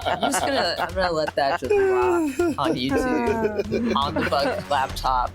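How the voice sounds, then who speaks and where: A man's voice: very high-pitched, big pitch swings, measured pace, gravelly, over a noisy crowd. A man playing a tabletop roleplaying game, chatting casually as a player. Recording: prominent music behind the voice.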